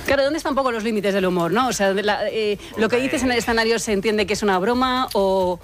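Quick-talking radio interviewer. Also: mid-range voice, over music. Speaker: radio show host.

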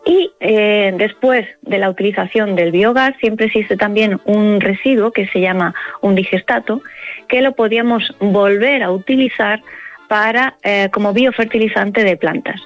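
Halting Spanish woman on dull lo-fi radio. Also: medium pitch, soft background music. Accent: Spain. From Murcia, Spain. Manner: halting, hesitant delivery with frequent pauses. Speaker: interview guest, university professor and scientist. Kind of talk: spontaneous explanatory interview answers.

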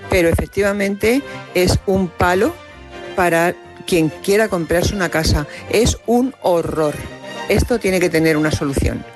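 A woman in her seventies leaving a calm, plain-spoken voice message for a radio show. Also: fairly low pitch, a little slow, soft background music. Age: 70s. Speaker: listener.

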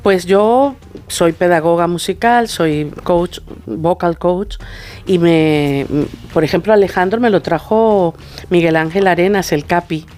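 A middle-aged woman with a fairly low-pitched voice speaks as a guest in conversation. She is effusive and animated, slipping into quoted dialogue as she tells anecdotes. Light music sits in the background. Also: a little slow. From Venezuela. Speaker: guest, singer and vocal coach.

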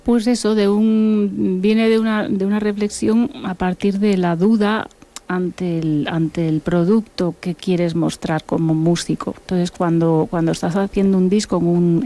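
A woman from Buenos Aires, a musician and author, talking in a relaxed interview and circling back with repeated words as she thinks. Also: fairly low pitch, moderate pace. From Argentina (Buenos Aires).

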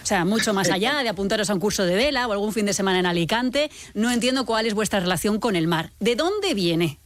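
A woman's voice: mid-range voice, lively intonation, quick pace, no echo. A woman with a Castilian Spanish accent hosting a live radio show, talking in a chatty, informal, conversational way.

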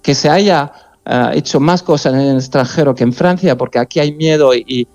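Halting man with a mellow voice. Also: fairly high pitch, muffled recording, soft background music. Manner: halting, searching for words. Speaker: guest journalist. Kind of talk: phone-in interview.

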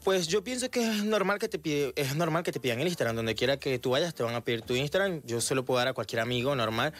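A man's voice: medium pitch, lively intonation, fast talker, fairly bright tone, faint music behind. Hesitant man. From Venezuela and Colombia. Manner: hesitant, many fillers. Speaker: guest, model and content creator. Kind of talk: radio interview.

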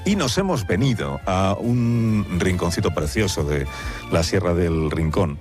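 Male radio host, very low pitch, conversational and playful, outdoors. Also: expressive, a little slow, music in the background. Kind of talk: on-location radio interview. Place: village square outdoors.

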